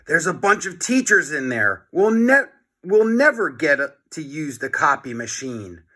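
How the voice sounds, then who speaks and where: A man voicing a cautious, worried schoolboy sidekick in a read-aloud storybook, hesitant and expressive. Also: a little high, measured pace, exaggerated voice. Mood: dismayed.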